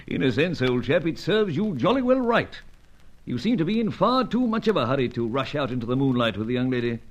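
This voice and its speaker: Blustering, animated British spy chief. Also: male voice, mid-range voice, a little fast. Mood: probing, avuncular. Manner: blustering, avuncular old-boy phrasing. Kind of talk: vintage radio adventure serial acting.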